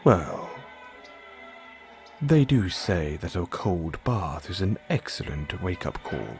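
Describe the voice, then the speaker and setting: A man playing a polite, doting and gently teasing butler in an audio roleplay, speaking in a formal, measured way. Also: low voice, very animated, faint music behind, full character voice. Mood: teasing, deferential.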